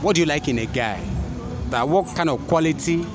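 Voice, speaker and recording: A man's voice: fairly high pitch, fairly quick. A man in his thirties with a Nigerian accent doing a casual, teasing street interview, often repeating words back. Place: outdoors by a street wall and parked car. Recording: outdoor street recording with background music. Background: music.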